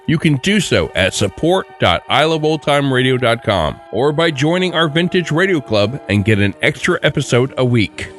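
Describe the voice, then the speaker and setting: Male podcast host reading scripted outros, mid-range voice with very varied melody. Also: medium pitch.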